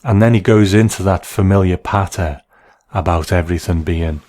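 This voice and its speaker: A man giving measured, analytical commentary as the host of a review of classic television. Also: low-pitched, darkish tone.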